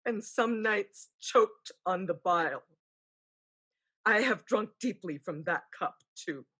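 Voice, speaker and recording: A woman playing an elder ancestral spirit, a wise, pragmatic aunt, in a deliberate, knowing staged reading. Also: medium pitch, lively intonation, a bit bright, breathy edge, full character voice. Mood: consoling. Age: older. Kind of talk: staged play reading, dramatic and measured.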